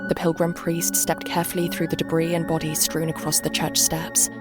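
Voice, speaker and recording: A woman's voice: low-pitched, monotone, brisk. A woman reading a dramatic story as an audiobook narrator. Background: background music.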